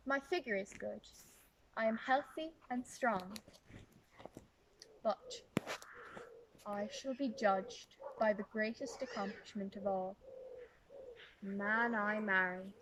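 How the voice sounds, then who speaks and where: A woman's voice: a little high, background noise. A woman acting a privileged seventeen-year-old noblewoman in a historical monologue, with prim, formal, old-fashioned phrasing, wistful and playful. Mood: excited, proud.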